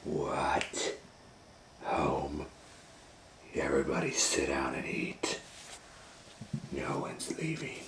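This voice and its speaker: A grumpy gorilla schoolteacher from a children's storybook, acted out by a man. The voice is gruff and curt, bossing everyone around in an echoey room. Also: extremely low pitch, big pitch swings, drawn-out words, pauses often, whispered, voice pushed down, exaggerated voice. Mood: gruff, dismissive. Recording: roomy echo.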